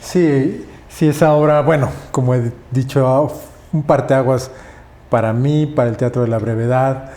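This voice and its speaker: A man's voice: mid-range voice, steady tone, a little slow, mellow, roomy sound. A man hosting a podcast interview, attentive and affirming. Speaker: podcast host. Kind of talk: interview conversation.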